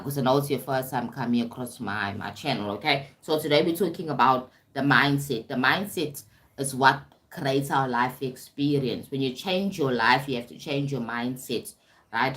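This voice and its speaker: A woman hosting a casual self-development livestream, chatty, often stumbling over words and checking in with viewers. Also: rumbling low. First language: English. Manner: warm-toned chatty, calls viewers "sweetie pie", frequent "right?" and restarts. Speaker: livestream host.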